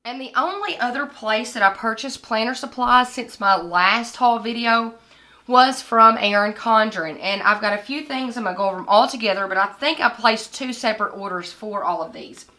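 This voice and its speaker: A young woman talks through a planner haul in a bright, forward voice. Her voice is hoarse and scratchy after being sick. She chats casually and holds items up to the camera. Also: fairly high pitch, moderate pace. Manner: chatty, shows items to camera. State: hoarse, recovering from lost voice. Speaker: planner vlogger. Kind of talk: casual product haul vlog. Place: indoors at a desk with lighting kit.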